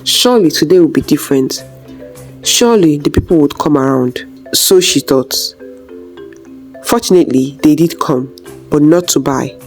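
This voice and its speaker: A woman's voice: low-pitched, animated, normal speed, soft background music. A woman narrating a scripted folktale as a storyteller.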